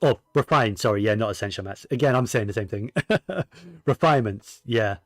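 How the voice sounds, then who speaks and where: A man's voice: fairly low pitch, normal speed, dry recording, studio quality. A man giving casual, rambling gaming commentary, often correcting himself as he goes. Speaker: game streamer.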